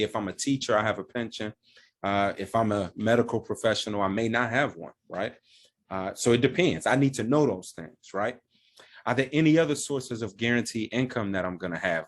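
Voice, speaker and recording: A man with a mid-range voice explaining retirement planning to the camera. He speaks plainly and breaks every idea down step by step, with an everyday example for each point. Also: mid-range voice. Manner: plain-spoken, walks through examples step by step. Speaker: financial advisor and firm founder.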